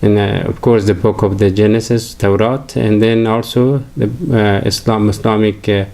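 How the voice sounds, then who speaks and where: An older man from Afghanistan with an Afghan accent, a native Farsi speaker, giving a halting religious lecture full of fillers and restarts. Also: lowish voice, steady tone, darkish tone. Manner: hesitant, many fillers and restarts.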